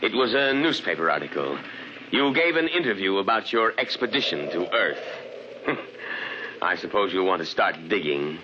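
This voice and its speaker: An actor playing a pompous, mocking imperial governor and soldier, in 1950s radio acting with a dull recording. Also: male voice, sits low, sing-song, normal speed, background noise. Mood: scornful. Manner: pompous, mocking, laughs at others.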